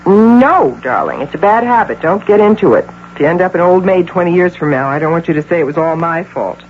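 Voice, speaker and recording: A woman's voice: fairly low pitch, animated, close to an everyday voice. Actress doing imperious middle-aged lady executive in an old radio play, muffled and noisy. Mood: tender, doting. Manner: imperious, brisk and theatrical. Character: high-powered, sharp-tongued middle-aged agency president and doting mother. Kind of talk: radio drama acting.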